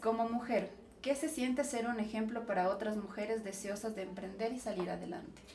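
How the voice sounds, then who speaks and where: A woman's voice: fairly high pitch, fairly even intonation, normal speed, a little mellow. A woman interviewing a guest, framing long, explanatory questions and adding her own comments. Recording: roomy, distant mic, faint noise.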